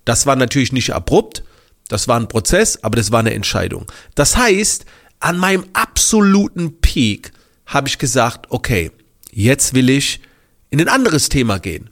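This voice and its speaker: A man's voice: mid-range voice, moderate pace. A man hosting his podcast, slightly congested from a cold, telling a story casually with lots of fillers and restarts. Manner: animated, many fillers and restarts. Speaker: podcast host.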